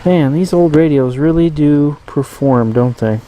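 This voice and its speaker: A man giving a casual hands-on demonstration as host, rambling and thinking aloud in a halting way. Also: fairly high pitch, lo-fi sound. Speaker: host of a radio collecting channel.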